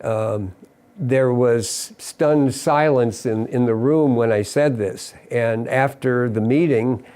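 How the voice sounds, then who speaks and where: A middle-aged man with a voice of everyday pitch. He delivers a civic statement in an earnest, measured way, halting briefly and restarting his phrases as he goes. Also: mellow, clean tone. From Ohio, USA. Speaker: mayor. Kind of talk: prepared civic statement to camera.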